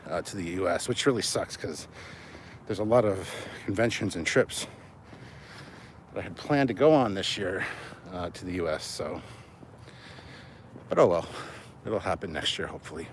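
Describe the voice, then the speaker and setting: A man's voice: low-pitched, lively intonation, normal speed, pauses often. A man, a bit out of breath as he walks outdoors, chatting casually and informally with livestream viewers. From Canada. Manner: informal, swearing, reads out and answers comments. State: out of breath from walking. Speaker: livestream host. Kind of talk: casual rambling chat with live viewers. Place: outdoors, walking. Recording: noisy outdoor recording with vehicle sounds.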